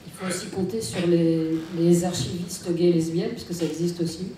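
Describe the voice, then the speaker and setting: A woman speaking on a conference panel, earnest and slightly halting, recorded in a large hall. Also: on the low side, fairly even intonation, mellow, slight background noise. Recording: echoey hall, speaker far from mic.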